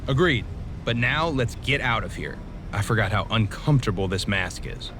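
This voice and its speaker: An actor playing a weary, sensible masked wrestler, talking dryly and matter-of-factly in a video game cutscene. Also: male voice, on the low side, expressive, moderate pace, noisy background, close to an everyday voice. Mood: impatient. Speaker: wrestler character in a game story mode.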